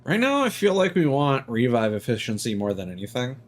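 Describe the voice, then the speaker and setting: A man's voice: medium pitch, big pitch swings, a little fast. A young man streaming a game, casually reading menu items aloud to himself.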